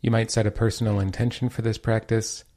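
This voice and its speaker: Low-voiced male meditation guide. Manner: calm, measured instruction with pauses.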